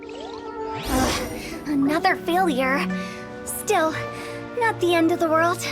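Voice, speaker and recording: A woman's voice: very high voice, expressive, slow pace, over loud music, exaggerated voice. A woman voicing a playful, brazen young funeral parlor director in a video game, with theatrical, cheeky sales patter. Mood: mildly deflated. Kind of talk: video game character acting.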